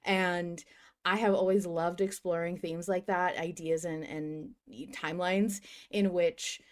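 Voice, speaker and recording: A woman hosts a podcast in a slightly low voice. She talks casually and effusively, rambling along like a devoted fan. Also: steady tone, a little slow. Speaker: podcast host.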